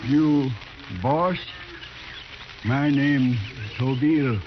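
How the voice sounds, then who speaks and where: Slow-spoken proud old scout, halting English. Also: male voice, medium pitch, steady tone. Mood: earnest. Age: older. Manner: halting, clipped broken English. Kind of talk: old-time radio western acting. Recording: muffled, noisy old radio recording.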